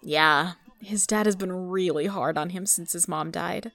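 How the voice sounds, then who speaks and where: An actress playing a caring, earnest young daughter who works as a space mission scientist in an audio drama. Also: female voice, lowish voice, unhurried, a bit bright, no echo. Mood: sympathetic. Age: young adult.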